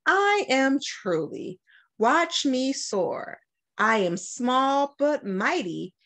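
A middle-aged American woman from Detroit, a school principal, doing an expressive storytime read-aloud with deliberate phrasing. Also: high voice. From Detroit, United States. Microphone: webcam at about arm's length.

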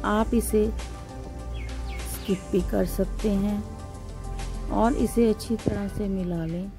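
A woman's voice: mid-range voice, steady tone, slow, over music. A woman hosting a cooking channel, giving a step-by-step recipe voice-over in short, instructive phrases.

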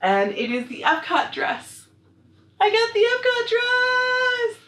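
A young American woman with a bright, forward voice chats casually to camera. She gushes like an excited vlogger showing off a haul. The sound is roomy, with faint noise from a camera a few feet away. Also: very high-pitched, takes it slowly. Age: young adult. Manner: excitable, gushing. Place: small living room, on a couch. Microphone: camera mic a few feet away. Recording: roomy echo, noisy camera audio.

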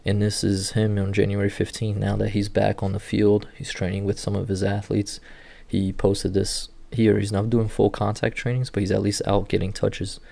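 A man in his thirties, a native Portuguese speaker, who works with rehab athletes and calmly walks viewers through training clips, recorded indoors on a webcam. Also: lowish voice, normal speed, gravelly. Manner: steady, instructive walk-through of clips. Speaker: sports rehab clinician. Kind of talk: explanatory video commentary. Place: indoor room.

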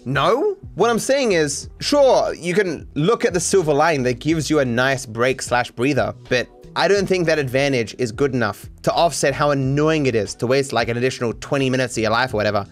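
A man's voice: mid-range voice, lively intonation, fairly quick, hardly any pauses, soft background music. A man in his thirties streaming from home on a desk mic, casually reacting to viewer takes in an opinionated, rambling way and swearing freely. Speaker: speedrunning streamer. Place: home streaming room, indoors.

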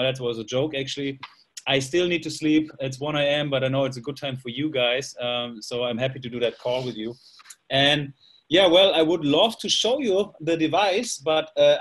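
A man's voice: medium pitch, fairly bright tone. A young man from Germany with a German accent, chatting in an upbeat, joking way on a video call from a small room at night. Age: young adult. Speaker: presenter. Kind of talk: casual video call talk. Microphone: laptop webcam on a video call.

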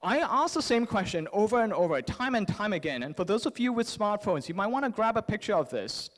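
A man in his thirties giving an earnest motivational psychology keynote at a podium microphone, phrasing deliberately with repetition and rhetorical questions. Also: high-pitched, very animated, a bit bright. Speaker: keynote speaker. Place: large convention hall, on stage at a lectern.

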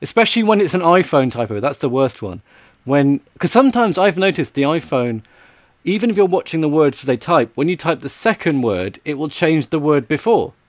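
A man in his thirties co-hosting a casual tech podcast in a matter-of-fact, low-key way. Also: sing-song, brisk, dry recording. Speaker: podcast co-host. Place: small home office.

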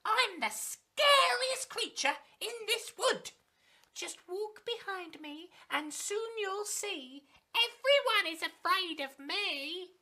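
A woman voicing a small, clever brown mouse with a shrill, exaggerated sing-song voice in a storybook read-aloud. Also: lively intonation, takes it slowly, pushed and bright, heavily acted. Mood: playful.

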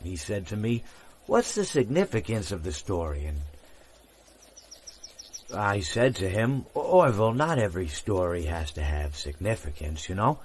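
A man's voice: deep voice, big pitch swings, measured pace, a little mellow, a little hiss or hum. A man voicing a long-winded male hippo storyteller from a game, meandering from tangent to tangent with stammered restarts. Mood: rambling. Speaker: game character voice lines. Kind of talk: game voice acting.

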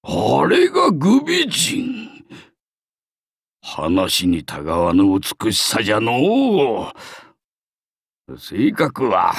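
Wry elderly mystic for an anime game: gravelly, slow, archaic and theatrical. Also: male voice, mid-range voice, wildly swooping pitch, no room sound, clean studio sound, exaggerated voice. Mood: amused, teasing. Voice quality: raspy, creaky. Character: wry old bearded mystic offering medicine. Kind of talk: anime game character acting.

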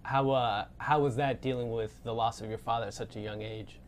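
A man's voice: mid-range voice, fairly even intonation, fairly quick. Casual American male interviewer, noisy background. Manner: informal, echoes answers back. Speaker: interviewer, news editor. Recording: clear ambient background noise.

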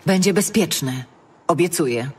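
A woman's voice: on the low side, expressive, normal speed, a little mellow, a little hiss or hum, close to an everyday voice. An actress playing a tough, sardonic woman ranger in a fantasy audiobook drama. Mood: reassuring. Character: tough, sardonic female ranger, hardened warrior.

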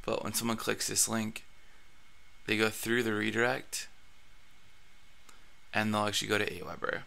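A man hosting a screen-recorded blogging tutorial, his voice raspy from allergies, talking casually with plenty of fillers and restarts. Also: fairly low pitch, monotone, moderate pace, pushed and bright.

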